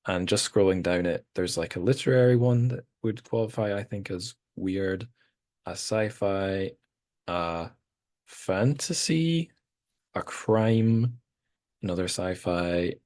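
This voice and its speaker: A man with a very low voice hosts a podcast conversation. He talks in a relaxed, easygoing way and thinks his points through aloud, with frequent fillers. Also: slow. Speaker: podcast host. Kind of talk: casual conversation.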